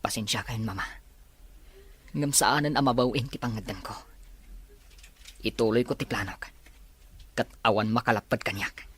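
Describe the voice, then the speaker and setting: An actor playing a determined young adult son in an Ilocano radio drama. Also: male voice, mid-range voice, fairly even intonation, moderate pace, long pauses, fairly bright tone, husky. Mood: pleading, resolute. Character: determined grown son, plotting against a criminal.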